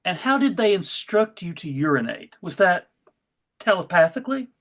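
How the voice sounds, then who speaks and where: A woman's voice: fairly low pitch, big pitch swings, normal speed. A woman playing a courteous, clinical official in a comedy sketch read, tentative and politely probing. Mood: concerned.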